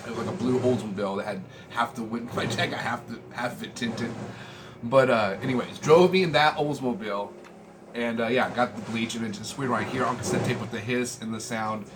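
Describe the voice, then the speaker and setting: A middle-aged American man with an average-pitched voice rambles casually, like a vlogger talking through a collection. His delivery is laid-back and slangy, wandering from one thought to the next. Clear background noise sits behind his voice. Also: fairly quick. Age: 40s. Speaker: vlogger showing a music collection. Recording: clearly noisy room ambience.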